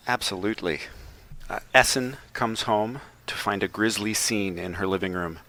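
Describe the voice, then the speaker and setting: A low-pitched man with a bright, sharp tone reads a prepared summary on a podcast. His delivery is tongue-in-cheek, dropping playful asides between plot points. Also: fairly even intonation, moderate pace. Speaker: podcast co-host reading a book synopsis.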